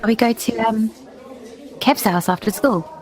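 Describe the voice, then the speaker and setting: A woman's voice: a little high, measured pace, darkish tone. A woman playing a tabletop roleplaying game, speaking and describing for her character. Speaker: player.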